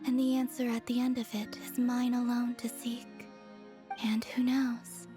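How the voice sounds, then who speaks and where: A woman voicing a gentle, wistful young moon maiden in a video game, soft, breathy and hushed, with a hesitant, dreamy manner. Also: a little high, little pitch movement, measured pace, over music, close to an everyday voice.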